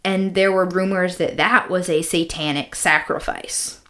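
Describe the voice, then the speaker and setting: A woman's voice: lowish voice, fairly even intonation, measured pace, bright, forceful tone. A woman co-hosting a podcast, chatting and telling stories in an informal, slangy way with emphatic repetition. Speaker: podcast co-host. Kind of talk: casual chat, storytelling.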